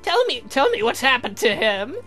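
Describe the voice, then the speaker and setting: A man voicing a flustered, guilty old man in a visual novel game, halting and stammering defensively. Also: extremely high pitch, very animated, pushed and bright, faint music behind, voice pushed up, exaggerated voice. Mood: anxious.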